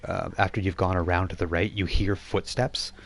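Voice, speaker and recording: A man working as game master, improvising narration for a tabletop role-playing game in a halting, conversational way. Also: low-pitched, fairly even intonation, gravelly. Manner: halting, conversational, thinking aloud.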